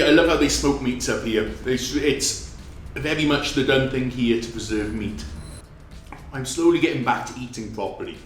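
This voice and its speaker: A middle-aged man from Wales with a Welsh accent, talking casually and rambling as he films his travels. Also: mid-range voice. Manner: chatty, rambling, self-deprecating. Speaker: travel vlogger. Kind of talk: casual vlog narration. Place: indoor room in a guest house. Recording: echoey with background noise.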